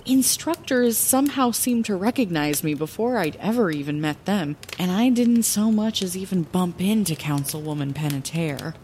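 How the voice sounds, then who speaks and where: A woman playing an earnest young female bard, telling her own story in an expressive, lyrical fantasy audio drama narration. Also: mid-range voice, a little hiss or hum. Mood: weary, rueful.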